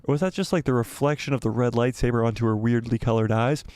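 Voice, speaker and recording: Hoarse man chatting on a live stream. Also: medium pitch, quick pace, a little mellow, no room sound. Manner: rambling, repeats himself, thinks aloud. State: losing his voice, coughing. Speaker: livestream host. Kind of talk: casual live-stream chat reading viewer comments.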